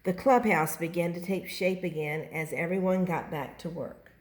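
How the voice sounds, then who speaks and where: A woman's voice: lowish voice, fairly even intonation, normal speed. An older woman, a grandmother, expressively reading a children's story aloud.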